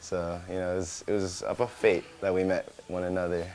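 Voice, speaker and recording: A man's voice: deep voice, level tone, a little fast, very noisy. A young American man from California, founder of a small company, talking casually in an interview with many restarts and Indonesian words mixed in. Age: young adult.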